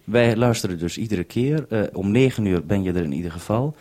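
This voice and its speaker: A man presenting over a two-way radio link, talking in a measured, procedural way. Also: lowish voice, animated, background noise.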